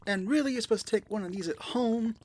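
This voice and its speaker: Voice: mid-range voice, fast, background noise, natural voice. An amateur actor voicing a flirty, motherly pink balloon elephant who serves at a soda bar, with a folksy, coaxing manner in an audio play. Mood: flustered, reassuring. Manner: folksy, coaxing, calls listener sweetie and dear. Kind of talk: amateur audio play, second-person roleplay monologue.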